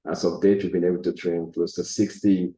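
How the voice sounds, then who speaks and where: A man's voice: deep voice, little pitch movement, fairly quick, mellow, reverberant. A man speaking as a panel guest over a video call, earnest and enthusiastic about his organization's programs. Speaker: panel guest, nonprofit leader. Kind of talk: casual panel conversation.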